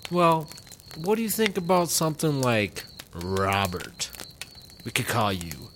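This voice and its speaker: A man's voice: medium pitch, unhurried, fairly bright tone, slight background noise. A man playing a scheming, blustering American ex-cop in a comedic audio drama, with an American accent. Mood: casual, teasing.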